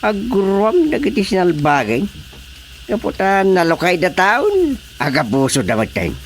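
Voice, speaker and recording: A man's voice: high-pitched, huge pitch swings, measured pace, slight background noise, exaggerated voice. An actor with a Filipino accent playing a bossy, exasperated older village chairman in a radio comedy drama. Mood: irritated, bossy. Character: grumbling, bossy male village chairman, a father.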